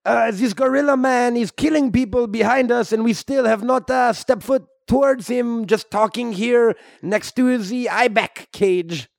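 A man plays a French Canadian superhero for an improvised comedy podcast. The voice is high and creaky, with a thick accent and broken English. He rambles along, amiable and hesitant. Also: unhurried, hardly any pauses, no room sound, studio quality, full character voice. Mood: chatty, earnest.

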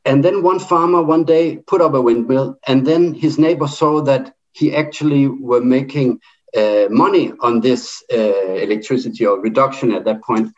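A middle-aged man with a Danish accent talks in an online debate, his voice sitting in an ordinary middle pitch. He pauses often on fillers and restarts his phrases. The audio is somewhat muffled and lo-fi. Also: darkish tone, soft background music. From Denmark. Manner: halting, with many fillers and restarts. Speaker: debate speaker.

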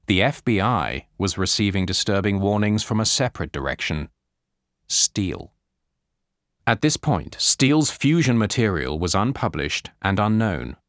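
A man reading nonfiction as an audiobook narrator, measured and clearly articulated, in a scripted style. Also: on the low side, a bit bright, no room sound, studio quality. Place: dry studio recording.

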